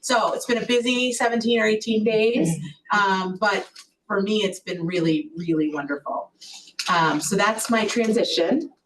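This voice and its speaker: A woman with a loud voice reporting at a board meeting, chatty, with many fillers and restarts. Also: mid-range voice, moderate pace. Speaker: collaborative executive director. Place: meeting room. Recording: distant mic with faint noise.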